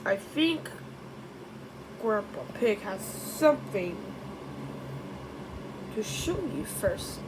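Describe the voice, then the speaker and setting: A woman voicing an affectionate elderly grandmother pig in a storybook, doting and gently coaxing. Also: mid-range voice, animated, extremely slow, long pauses. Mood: gentle. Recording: clear background noise.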